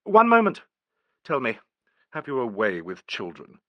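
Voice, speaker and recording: A man voicing a brisk police inspector who speaks crisply and coaxingly. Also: medium pitch, huge pitch swings, no room sound, muffled recording.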